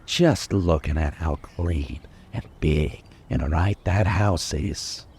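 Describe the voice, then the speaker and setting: A man's voice: very deep voice, darkish tone, slight background noise, heavily acted. A man playing a boastful, menacing young killer in an old-time radio crime drama, dwelling on his words. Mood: bitter, narrating. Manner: menacing, gloating, dwelling slowly on details. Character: boastful, unhinged young male killer holding police at gunpoint.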